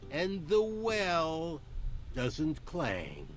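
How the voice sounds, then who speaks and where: A solemn storybook narrator in a video game. He is extremely slow, with dramatic pauses and huge sweeps of pitch, over faint music. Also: huge pitch swings, heavily acted. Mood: ominous, solemn. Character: solemn, theatrical male teller of dark fairy tales.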